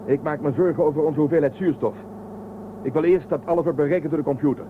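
A man's voice: on the high side, fast, natural voice. An actor playing Telsen, a cautious, methodical man on a space crew in a radio play. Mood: calculating. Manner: methodical, calculating. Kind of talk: radio drama acting. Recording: muffled phone-like sound with loud hiss.

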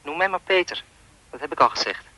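Creepy, insistent anonymous male caller, bright voice. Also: fairly high pitch, lively intonation, brisk, heavily acted, rough recording. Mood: insistent, sinister. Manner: insinuating, persistent, needling. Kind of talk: radio play dialogue.

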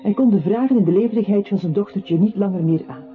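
An actor playing a narrator who comments on a composer's life in a literary radio play. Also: male voice, high-pitched, fast, faint music behind, lightly acted. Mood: pointed. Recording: muffled old radio recording.

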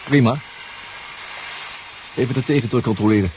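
A man's voice: medium pitch, normal speed, darkish tone, close to an everyday voice. An actor playing a confident, proud inventor piloting his own submarine in a 1970s radio drama, clipped and matter-of-fact. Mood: businesslike. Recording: dull, noisy 1970s radio recording.

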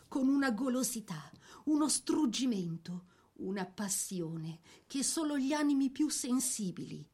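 A woman narrating a scripted biographical drama in a solemn, literary manner with measured phrasing. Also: medium pitch, darkish tone.

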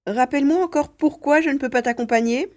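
A woman's voice: high voice, a little fast, a little mellow, close to an everyday voice. A woman playing an eager, stubborn young boy in a dramatised audiobook, speaking expressively and earnestly. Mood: protesting, sulky. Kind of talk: dramatised audiobook, first-person narration and dialogue.

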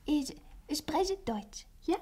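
An innocent, eager young Japanese waitress in an old radio drama. The voice is girlish and extremely high. She speaks very slowly in halting, simple phrases with a Japanese accent. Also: animated, mellow, exaggerated voice. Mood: earnest, curious. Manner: halting, simple broken German in short phrases. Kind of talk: 1960 German radio play acting.

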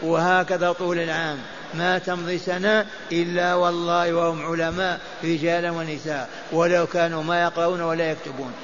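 Older male mosque teacher, repeats and chants lines, flat high delivery, faint hiss. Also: fairly quick. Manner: chants and repeats texts for memorizing. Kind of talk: mosque lesson on hadith. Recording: dull lo-fi lecture recording.

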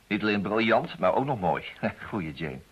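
An actor playing a brisk, charming private detective in an old radio play. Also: male voice, on the low side, very animated, husky, exaggerated voice. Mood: keen, charming. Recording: dull, muffled old radio recording.

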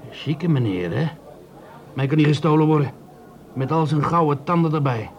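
A man's voice: on the high side, expressive, normal speed, muffled recording. A man acting in a radio play as a grumbling, suspicious small-time crook with a blunt, plain-spoken way of talking.

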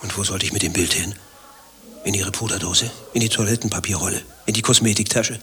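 A man's voice: lowish voice, fairly even intonation, a little fast, fairly bright tone, lightly acted. An actor playing an anxious, grumbling married man with a secret lover, telling his troubles in fretful clipped phrases in a satirical radio play. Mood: harried, dramatic. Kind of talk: dialogue-driven satirical radio play acting.

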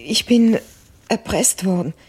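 A woman's voice: mid-range voice, animated, a little slow, a little mellow, slightly rough. An actress playing a troubled woman making a confession in a 1970s radio crime play. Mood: distressed confession. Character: troubled young woman confessing she was blackmailed. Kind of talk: 1970s German radio crime drama acting.

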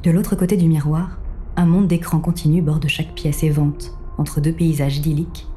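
A deep, mellow female storyteller, played by an actress, narrates a dystopian tale with cool detachment. Her delivery is formal, measured and quietly ironic, with faint music underneath. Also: flat delivery, lightly acted. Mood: detached. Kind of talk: scripted literary narration.

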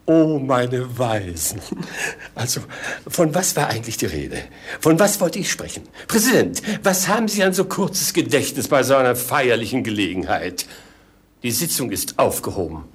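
A man's voice: mid-range voice, sing-song, normal speed, breathy edge. A man playing a muddled, pompous, easily flustered king in a theatrical German radio play, breaking off and repeating himself. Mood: pompous, absent-minded.

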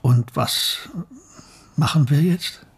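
An actor playing a shrewd, reserved male professor and narrator in a radio drama, with a husky voice and an understated manner. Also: a little high, slow, full character voice. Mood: shrewd, guarded.

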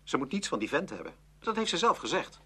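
An embarrassed, awkward teenage boy in a radio drama. The voice is bright and husky, swinging widely in pitch as he hesitates and repeats himself. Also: wildly swooping pitch, quick pace, exaggerated voice. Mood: embarrassed, upset. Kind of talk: Dutch radio play acting.

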